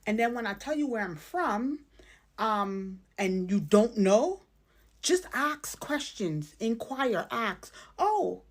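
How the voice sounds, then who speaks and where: A woman's voice: a little high, sing-song, slow pace. A woman from the Caribbean making an earnest, pleading appeal. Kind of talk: casual appeal video.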